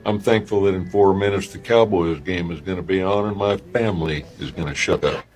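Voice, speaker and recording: An actor playing a blunt, deadpan family man grumbling at a holiday table in scripted comedy. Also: sits low, brisk, lightly acted. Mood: grumpy, deadpan.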